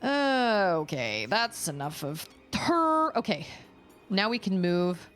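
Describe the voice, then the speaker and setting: A woman's voice: mid-range voice, sing-song, slow, a bit bright, faint music behind. A woman streamer chatting casually at home while playing a game live, thinking aloud and restarting her sentences often. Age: adult parent.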